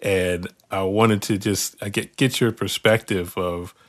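A man co-hosting an interview, asking long, halting questions full of fillers and restarts. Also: low voice, fairly even intonation, a bit bright. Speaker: co-host.

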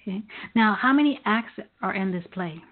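A woman's voice: medium pitch, expressive, normal speed. An American woman hosting an interview in a conversational style, affirming and acknowledging her guest. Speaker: radio interview host. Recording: narrow phone-like radio sound.

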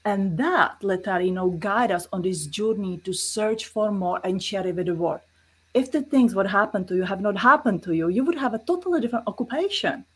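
A woman's voice: medium pitch, expressive, a little fast, husky. A woman with a Czech accent, a guest in an interview, explaining her views earnestly with many fillers. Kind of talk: casual interview talk.

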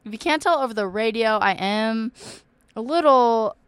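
A woman's voice: fairly high pitch, normal speed, clear, resonant tone, no room sound. A young woman hosting a campus radio show, chatty and full of fillers, her voice worn and cracking now and then. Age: young adult. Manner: chatty, many ums and likes. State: losing her voice, occasional cracks. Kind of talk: casual radio announcements.

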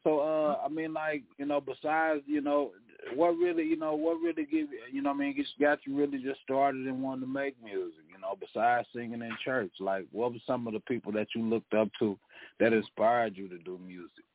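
A man from Oklahoma co-hosting a radio show, talking in a relaxed, rambling way full of fillers. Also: medium pitch, brisk, vocal fry. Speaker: radio co-host. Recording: phone-like line, faint hiss.

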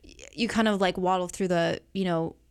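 A woman's voice: on the low side, fairly even intonation, brisk, clear, resonant tone. A woman talking casually about a film as a guest co-host, in a loose, conversational and emphatic way.

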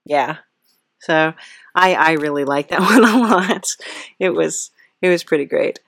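A woman with a slightly low voice hosts a playful interview podcast. She talks casually and hesitantly, wandering through her questions with a giggly edge. The sound is dry, with very little room. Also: unhurried, a bit bright, dry recording.

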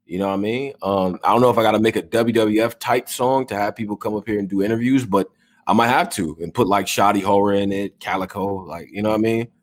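A man's voice: fairly low pitch, steady tone, quick pace. A man hosting a casual online show, bantering loosely in slang and tossing questions to a guest. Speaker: host. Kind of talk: casual chat.

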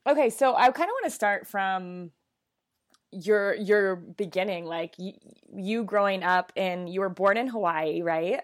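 A woman's voice: mid-range voice, measured pace. A woman hosting a podcast, talking in a casual, conversational way as she introduces and interviews a guest.

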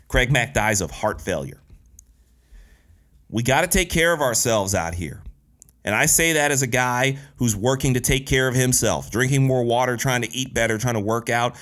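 A man's voice: mid-range voice, a bit bright. A man in his thirties from Detroit, hosting a solo podcast in a casual, candid way, with slang and cursing. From Detroit, USA. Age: 30s. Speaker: podcast host.

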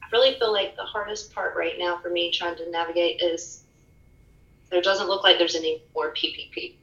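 Soft-spoken bright woman, echoey room. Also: lowish voice, fairly even intonation, normal speed, muffled recording. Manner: soft-spoken, candid and reflective. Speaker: podcast guest, fitness studio owner. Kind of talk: casual interview chat.

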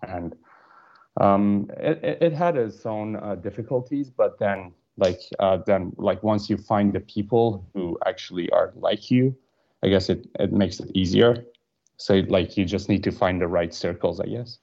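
A young man from Iran with a very deep, mellow voice answers questions hesitantly, stopping often for fillers and restarts. The audio is slightly muffled, like a remote call. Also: unhurried. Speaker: guitarist and producer. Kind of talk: casual interview answers.

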